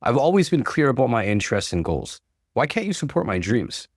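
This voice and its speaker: Man as earnest, determined young son, animated. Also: mid-range voice, brisk, dry recording, clean studio sound. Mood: frustrated, earnest. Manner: earnest, firm, protesting. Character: frustrated, determined young student son defending his dreams.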